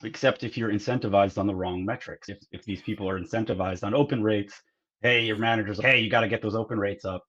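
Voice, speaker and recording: A man's voice: lowish voice, fairly even intonation, quick pace, a bit bright. A man co-hosting a podcast in a casual, analytical discussion, on slightly dull, lo-fi audio. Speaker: podcast co-host.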